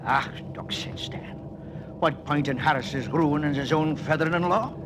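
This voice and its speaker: An actor plays a loyal Scottish tunnel veteran in a radio play. His voice is a little high, with guarded, plain speech. The old recording carries clear background noise. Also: moderate pace, lightly acted. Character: cautious, loyal Scottish tunnel veteran, male, middle-aged. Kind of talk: 1940s radio acting.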